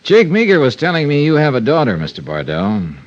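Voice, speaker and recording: An actor playing a cool, probing American investigator in a radio detective drama, polite and measured in his talk. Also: a little high, huge pitch swings. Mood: quietly probing. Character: cool, probing hard-boiled male investigator. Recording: muffled vintage radio recording.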